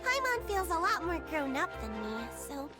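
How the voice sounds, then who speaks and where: A woman's voice: very high-pitched, sing-song, moderate pace, over loud music. A woman voicing a small, forgetful girl of a non-human race in a game, speaking cheerfully and innocently like a child. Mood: sweet.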